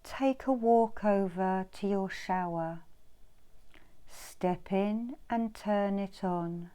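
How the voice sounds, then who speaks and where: A woman guides a meditation at a very leisurely pace. Her voice is soft-edged and warm, with a smooth, clean tone. She gives soothing instructions gently, repeating phrases and leaving pauses. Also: mid-range voice. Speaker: meditation teacher leading a guided visualisation.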